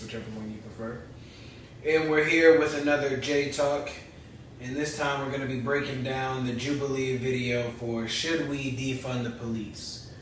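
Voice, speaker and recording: A man's voice: medium pitch, a bit bright, slight background noise. A man hosting a reaction video, talking in a loose, chatty way and swearing casually. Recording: echoey room, mic far away.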